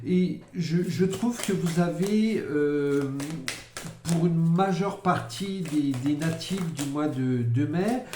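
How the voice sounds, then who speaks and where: A man giving a casual monthly tarot-style forecast, talking slowly with many fillers and repeated words. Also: a little high, warm tone. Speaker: psychic card reader. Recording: echoey room, faint noise.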